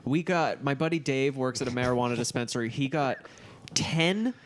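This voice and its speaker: A man with an American accent and an average-pitched voice, chatting loosely among friends. He is from the East Coast and speaks bluntly, in a casual, unscripted way. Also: moderate pace, slight background noise. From East Coast US, born in Boston. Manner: blunt, profane. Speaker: podcast guest, comedy show booker. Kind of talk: casual chat.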